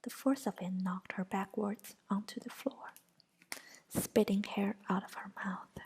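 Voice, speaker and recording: A woman narrating a story aloud in a soft, unvoiced whisper. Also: medium pitch, fairly even intonation, a little slow, gravelly, breathy edge. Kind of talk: whispered audiobook reading.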